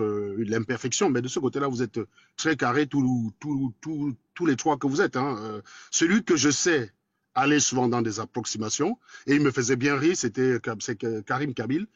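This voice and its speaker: Man, forties, Cameroonian accent, fast talker, blunt and crude, dry muffled stream audio. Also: medium pitch, husky, no room sound. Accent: Cameroonian French. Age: 40s. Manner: blunt, crude and combative, quick and talkative. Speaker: guest in a live debate. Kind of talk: casual live chat.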